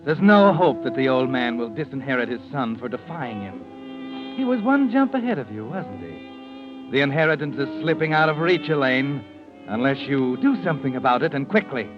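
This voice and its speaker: A man's voice: medium pitch, sing-song, normal speed, over music, lightly acted. An actor playing a sinister, knowing narrator of an old-time radio mystery, insinuating as he addresses the villain. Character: ominous male narrator who knows the villain's mind. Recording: muffled vintage radio recording.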